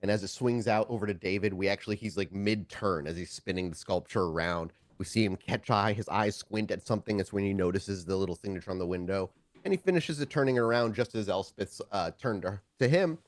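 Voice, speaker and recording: A man's voice: on the low side, brisk, no room sound. A man running a tabletop game as storyteller, narrating scenes off the cuff in a wordy way and often rephrasing mid-sentence.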